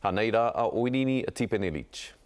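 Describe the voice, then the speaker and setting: A man's voice: on the low side, animated, moderate pace. A man presenting the news, reading a scripted introduction to a report.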